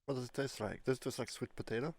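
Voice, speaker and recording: A man's voice: medium pitch, fairly even intonation, fast talker, vocal fry, a little mellow. A man co-hosting a podcast in casual chat, speaking informally with some mild slang and a frequent "like". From France. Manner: informal, mildly crude slang, repeats "like". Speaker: podcast co-host.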